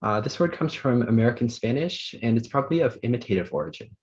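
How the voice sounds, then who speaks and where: Guy reading out spelling bee words, measured and formal, dull lo-fi call audio. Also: medium pitch, animated, a little mellow. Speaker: word pronouncer.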